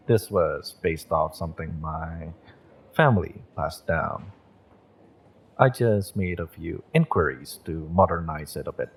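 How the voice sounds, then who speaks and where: A man playing a gentle, encouraging magick shop owner and tarot reader in an intimate audio roleplay, speaking in a measured, mystical, reassuring way. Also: sits low, expressive, a little hiss or hum. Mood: modest, amused.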